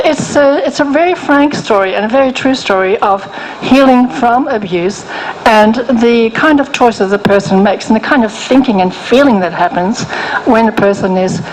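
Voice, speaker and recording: An older woman with a soft voice, speaking as a guest author in an interview, gentle and frank, often restarting her sentences. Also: medium pitch, slight background noise, poor audio. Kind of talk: interview, personal story.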